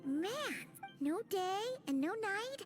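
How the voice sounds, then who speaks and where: An actress voicing a tiny, chirpy, childlike, ever-hungry fairy companion in a cartoonish video game style. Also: female voice, very high-pitched, expressive, takes it slowly, over loud music, heavily acted. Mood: whiny, chirpy. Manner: childlike, speaks of self in third person.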